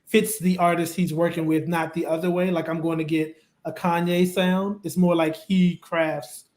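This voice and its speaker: High-voiced male host in an echoey room. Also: flat delivery, darkish tone. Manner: easygoing, affirming, quick to agree. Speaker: co-host of a web interview show. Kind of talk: casual interview hosting.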